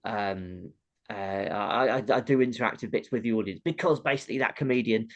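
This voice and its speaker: A man's voice: lowish voice, a little fast, highs cut off. A man with a nasal voice, a comedian chatting casually as an interview guest, laughing often and acting out how audiences react.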